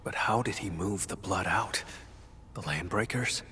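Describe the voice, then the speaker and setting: An actor playing a grave, tormented but loyal hunter in a video game, with a solemn, earnest delivery. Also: male voice, low voice, unhurried, bright, forceful tone, slight background noise. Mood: weary, regretful. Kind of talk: dramatic video game voice acting.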